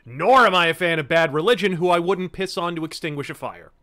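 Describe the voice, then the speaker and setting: A man's voice: fairly high pitch, a little fast, pushed and bright, no room sound, studio quality. A man giving sarcastic, crude running commentary while playing a video game. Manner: sarcastic, snarky, crude jokes. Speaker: let's play host.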